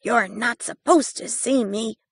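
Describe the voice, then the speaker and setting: A woman's voice: on the high side, a little slow, husky, dry recording, clean studio sound. A woman voicing a tiny, proud katydid insect in a storybook reading, matter-of-fact.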